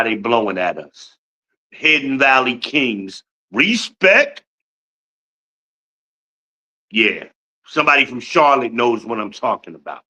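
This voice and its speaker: A man's voice: medium pitch, bright, forceful tone. A man in his fifties from Newark, New Jersey, hosting a podcast livestream with a boastful, blunt, profane rant. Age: 50s. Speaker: podcast host.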